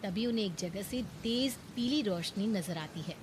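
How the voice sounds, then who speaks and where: A woman's voice: medium pitch, animated, moderate pace. Woman narrating a story over background noise. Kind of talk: scripted Hindi moral-story narration.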